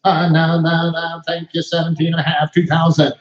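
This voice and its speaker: A man working as a livestock auctioneer, calling bids in a rapid rhythmic chant. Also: a little high, monotone, reverberant, dull audio. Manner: rhythmic repetitive bid calling. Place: cattle sale ring.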